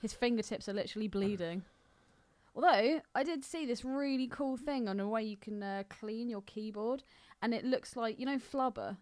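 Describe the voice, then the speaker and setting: A woman's voice: on the high side, moderate pace, darkish tone, no echo. A woman co-hosting a podcast with teasing, sweary, casual banter. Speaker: podcast co-host.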